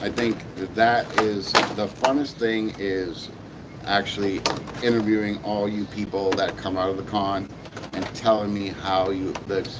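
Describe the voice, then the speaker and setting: Hoarse low-voiced man rambling casually. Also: little pitch movement, a little slow, very noisy. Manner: rambling, repeats himself, many fillers. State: hoarse, sore voice. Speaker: toy reviewer. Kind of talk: casual vlog chat.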